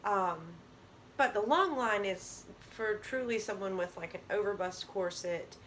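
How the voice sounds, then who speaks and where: A woman's voice: medium pitch, unhurried, fairly bright tone, a little hiss or hum. A young woman losing her voice, chatting casually to camera in a rambling way, with lots of ums and restarts. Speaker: vlogger. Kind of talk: casual product talk to camera.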